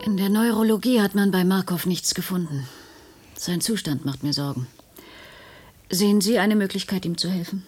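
A woman's voice: fairly low pitch, normal speed, a little mellow, natural voice. A woman playing a cool, sceptical doctor in a radio play, with a dry, pointed manner. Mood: concerned. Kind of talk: German radio play acting. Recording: hissy, noisy old radio recording.

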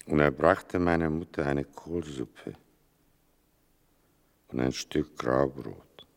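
An actor playing an older narrator in a literary radio play, gravelly and deliberate, with a bitterly ironic, mournful manner. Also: male voice, a bass voice, exaggerated voice. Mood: grave, restrained. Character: sardonic, mournful male narrator telling his mother's wartime story.

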